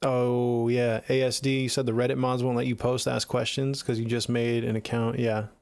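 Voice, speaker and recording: Male livestreamer, middle-aged, American accent. Gravelly, casual and slangy, recorded dry. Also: medium pitch, level tone, normal speed, darkish tone, no echo. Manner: laid-back, slangy, swearing. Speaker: music production livestreamer. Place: small home studio room, indoors.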